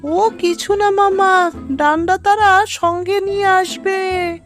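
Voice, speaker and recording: A woman's voice: extremely high pitch, fairly even intonation, slow pace, fairly bright tone, faint music behind, heavily acted. A woman voicing a sly trickster fox in an animal fable cartoon, with a sweet-talking, drawling delivery. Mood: sly coaxing.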